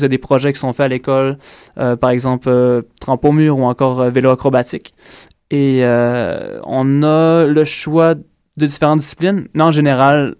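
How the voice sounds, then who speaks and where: A man's voice: medium pitch, darkish tone, clean tone, no room sound, studio quality. A young man from Ontario, raised in Quebec, with a Quebec French accent, answering interview questions as a circus school graduate with frequent hesitations. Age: young adult. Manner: hesitant, many "euh" fillers, earnest.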